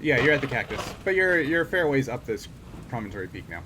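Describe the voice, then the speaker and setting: A man running a tabletop game as game master, casually narrating and refereeing in a halting, thinking-aloud way. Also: mid-range voice, big pitch swings, bright, forceful tone, a little hiss or hum, poor audio.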